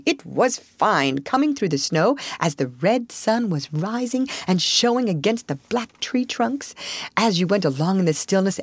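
A woman's voice: fairly low pitch, dry recording. A woman voicing a breezy, hearty male otter who talks expansively in a storybook. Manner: hearty, talkative, expressive storytelling.

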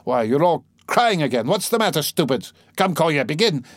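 Man voicing a frantic, haughty, high-pitched gentlewoman. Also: big pitch swings, moderate pace, no room sound. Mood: frantic, scolding. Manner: lofty, wailing, rambling, abruptly switching to scolding. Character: distraught, haughty, ailing impoverished gentlewoman, frantic and scolding. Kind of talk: dramatised audiobook character reading.

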